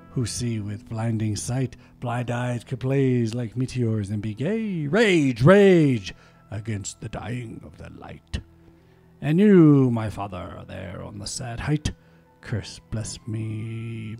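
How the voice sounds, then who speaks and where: A man hosting a community radio show, reading poetry theatrically, swelling loud and then dropping to a whisper. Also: medium pitch, gravelly. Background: faint instrumental music.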